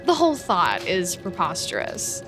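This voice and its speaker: An actress playing an awkward, sardonic young office programmer in an audio drama, hesitant and dryly sarcastic. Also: female voice, lowish voice, wildly swooping pitch, normal speed, over music. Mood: defensive. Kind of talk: audio drama dialogue and narration.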